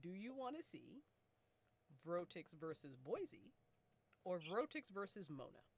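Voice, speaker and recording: A woman's voice: on the low side, sing-song, unhurried, husky. A woman hosting a casual group chat about a podcast, talking in a slangy way and swearing freely. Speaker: podcast host and co-creator.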